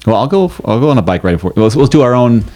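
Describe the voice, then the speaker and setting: A man co-hosting a podcast in relaxed, chatty conversation with frequent restarts. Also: medium pitch, fast talker. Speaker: podcast co-host. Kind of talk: casual chat.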